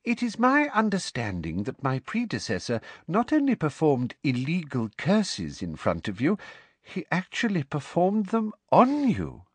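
A man's voice: on the high side, wildly swooping pitch, measured pace, husky, no echo, voice pushed up, exaggerated voice. A man voicing a smug, sickly-sweet middle-aged female teacher from a storybook, with a honeyed trill and a precise, dismissive manner. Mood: condescending. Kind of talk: audiobook character voice.

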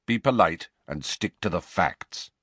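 A man's voice: low-pitched, expressive, a little slow, a bit bright, breathy edge, no room sound, heavily acted. A man voicing a blunt, grim adult wizard in an audiobook, speaking abruptly.

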